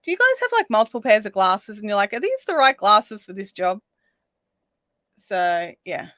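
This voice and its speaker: A woman hosting a craft livestream, chatting casually and reading out viewer comments while she crochets, her voice slightly raspy. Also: medium pitch, very animated, moderate pace, bright, forceful tone, no echo, studio quality. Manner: chatty, reads viewer comments aloud, counts stitches under her breath. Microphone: desk microphone.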